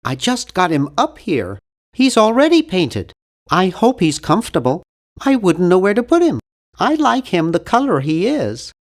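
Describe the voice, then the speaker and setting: A bookish, timid young man from a cartoon adventure game. His voice sits high for a male and swings widely in pitch. He speaks with prim, pedantic care, fussing over every detail. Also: huge pitch swings, normal speed, full character voice. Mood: fussy, doubtful. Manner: pedantic, prim, fussy delivery.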